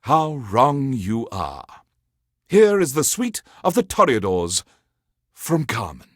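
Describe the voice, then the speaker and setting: A man's voice: medium pitch, big pitch swings, slow, no room sound, studio quality. An actor playing a pompous alien emperor who hosts a classical radio station in a video game, speaking in grandiloquent, condescending, theatrical patter and savoring his words. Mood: pompous, lecturing.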